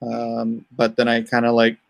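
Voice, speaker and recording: A man's voice: medium pitch, monotone, normal speed, gravelly. A man chatting casually as a livestream host, rambling informally with joking asides. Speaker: comic artist hosting a crowdfunding livestream.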